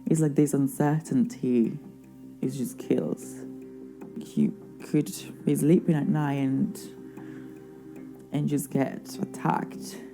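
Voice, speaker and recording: A man giving soft, hesitant documentary testimony and often correcting himself. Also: medium pitch, gravelly. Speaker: asylum seeker interviewee. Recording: faint music bed underneath.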